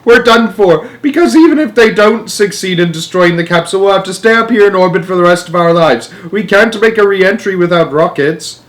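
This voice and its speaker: A man voicing a panicking male astronaut in a storybook, urgent and shouting. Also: high-pitched, brisk, hardly any pauses, voice pushed up. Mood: desperate.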